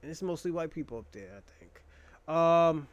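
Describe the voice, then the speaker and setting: A man's voice: on the high side, animated, normal speed, lo-fi sound. A young man hosting a casual monologue, rambling and joking in a crude, profane way. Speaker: podcast host.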